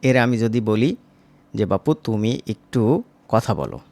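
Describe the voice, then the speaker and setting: A man teaches software in a casual, informal way, speaking directly to viewers as a tutor. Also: lowish voice, big pitch swings, slow. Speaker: animation tutor.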